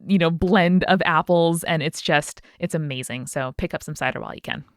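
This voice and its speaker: A woman's voice: sits low, brisk, no echo, studio quality. A woman co-hosting a podcast, talking casually and with enthusiasm. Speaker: podcast co-host. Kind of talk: casual chat and host-read sponsor spot.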